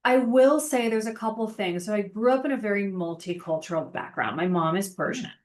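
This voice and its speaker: A woman in her thirties talks through her career in a relaxed interview. She is chatty and reflective, with fillers scattered through her speech. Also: medium pitch, fairly quick. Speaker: podcast guest, sales engineering manager. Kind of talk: casual interview conversation.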